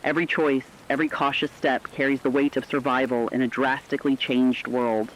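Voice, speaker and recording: A man's voice: mid-range voice, little pitch movement, fairly quick, a little hiss or hum, poor audio. A man narrating a scripted emergency radio broadcast as a newscaster, with grave, solemn delivery. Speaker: radio newscaster in a horror story.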